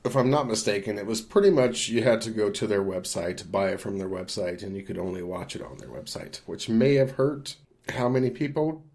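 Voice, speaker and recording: A man with a fairly low-pitched voice, speaking as a podcast co-host. He gives his opinions on films in a casual, measured, conversational manner. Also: darkish tone. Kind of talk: casual film discussion.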